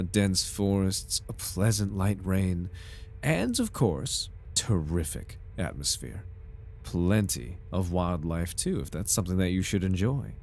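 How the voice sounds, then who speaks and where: A man's voice: deep voice, a little mellow. A man playing a suave, over-polite corporate recruiter in an ASMR roleplay, with a formal, unctuous salesman's patter. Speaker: voice actor in an audio roleplay. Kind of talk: ASMR roleplay, scripted in-character monologue.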